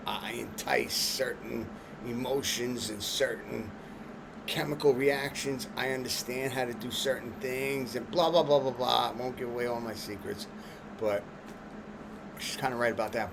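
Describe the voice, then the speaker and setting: A man's voice: medium pitch, creaky, background noise. A man with a Long Island accent rambling through a personal story in streetwise slang, full of fillers and restarts. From Long Island, New York. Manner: streetwise slang, filler-heavy, restarts, laughs at his own jokes. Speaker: vlogger telling a personal story.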